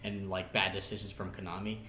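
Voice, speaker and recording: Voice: low voice, flat delivery, fairly quick, pushed and bright. A podcast guest chatting casually and enthusiastically about games in a rambling, filler-heavy way. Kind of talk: casual chat. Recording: echoey room, faint background noise.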